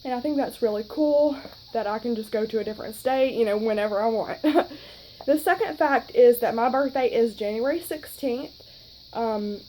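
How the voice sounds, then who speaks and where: A woman's voice: fairly high pitch, moderate pace, dark tone, slight background noise, low-quality recording. A woman of twenty with a Southern accent, chatting casually to her phone camera in a rambling way with lots of fillers. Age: 20s. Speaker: vlogger.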